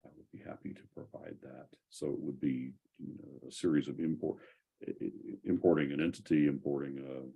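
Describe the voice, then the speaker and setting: A man hosting a casual online community call, speaking in measured, hesitant phrases. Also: rumbling low, gravelly, husky, phone-quality sound. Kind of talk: casual video call discussion.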